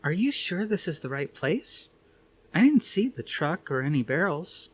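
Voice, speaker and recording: A man's voice: fairly high pitch, very animated, normal speed, husky, voice pushed up. A man voicing an anxious, practical woman scientist in a storybook reading, with an emphatic, exasperated way of asking things. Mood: uneasy.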